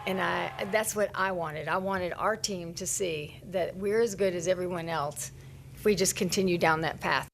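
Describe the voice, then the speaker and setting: Lower-pitched woman, earnest coach. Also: moderate pace, creaky, a little hiss or hum. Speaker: college gymnastics coach. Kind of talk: post-meet interview.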